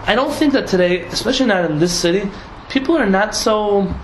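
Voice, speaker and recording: A young man from San Diego, a rabbi talking casually to a group, lively and laughing with many restarts and asides. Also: high voice, fairly quick. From San Diego, United States. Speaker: rabbi teaching a class. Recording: echoey room, audible noise.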